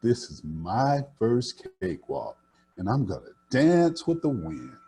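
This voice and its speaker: Older man playing a determined storybook country girl, eager, folksy, husky tone, swooping intonation. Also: medium pitch, wildly swooping pitch, slow pace, warm tone, full character voice. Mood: determined, excited.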